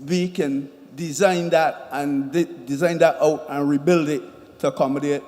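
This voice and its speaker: A man with a Barbadian accent making impromptu remarks at a public consultation, halting and emphatic, often repeating himself. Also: a little high, fairly even intonation, a little mellow. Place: large indoor meeting hall. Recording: reverberant hall public-address sound.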